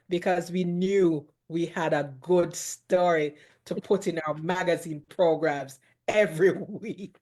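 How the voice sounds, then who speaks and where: A woman's voice: lowish voice, a little mellow. Effusive woman hosting a show. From Jamaica. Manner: effusive, exclamatory. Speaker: host.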